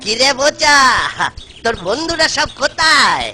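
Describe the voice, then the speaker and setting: Kindly clever older cartoon uncle type, swoopy playful delivery, music behind. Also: male voice, extremely high pitch, very animated, measured pace, bright, forceful tone, heavily acted. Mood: concerned, coaxing. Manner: coaxing, avuncular, playful. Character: genial, clever older village jester. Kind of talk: comic cartoon acting.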